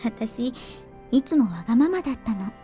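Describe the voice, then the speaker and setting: An actress playing a frail, gentle, quietly cheerful girl in a Japanese visual novel, soft and sweet. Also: on the high side, animated, fast talker, lightly acted. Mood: wistful. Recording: game music behind the voice.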